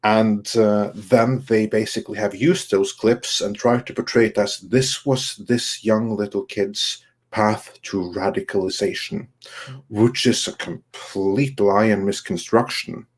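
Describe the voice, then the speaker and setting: Slow, halting low-voiced man. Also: fairly even intonation. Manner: halting, long-winded explaining. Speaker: panelist. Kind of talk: casual panel commentary.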